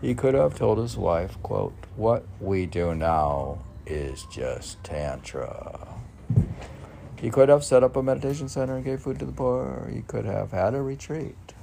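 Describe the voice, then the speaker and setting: A man with a gravelly voice reads and comments on poetry in a rambling, halting, self-questioning way, teasing as he goes. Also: medium pitch, expressive, dark tone, slight background noise. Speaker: poet.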